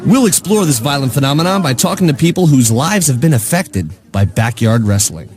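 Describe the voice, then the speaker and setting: An actor playing a glib, mock-earnest daytime talk show host in a video game, presenting theatrically. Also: male voice, medium pitch, expressive, fairly quick, a little mellow, lightly acted. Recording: music playing behind. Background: music.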